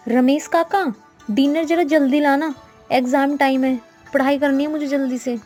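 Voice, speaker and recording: Kind-hearted young heiress, voiced by a woman: high, animated, earnest, chatty, storybook with music bed. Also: moderate pace, natural voice. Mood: hurried. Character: rich businessman's sweet, kind-hearted, chatty young daughter.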